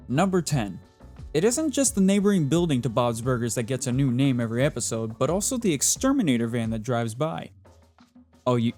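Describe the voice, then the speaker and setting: A man's voice: medium pitch, darkish tone. A man narrating a scripted facts video in a chatty, theatrical way, with playful asides. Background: background music.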